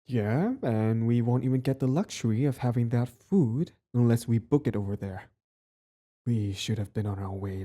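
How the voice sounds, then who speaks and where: A man's voice: on the low side, mellow, natural voice. A man playing a cocky but easily flustered villain devoted to a date, in a casual, wry romantic audio roleplay. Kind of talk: one-sided romantic audio roleplay, conversational.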